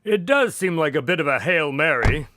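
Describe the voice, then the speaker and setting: A man's voice: on the high side, animated, moderate pace, pushed and bright, dry recording. A man playing a detective's skeptical doctor sidekick in a radio drama, wry and often exasperated. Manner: wry, incredulous.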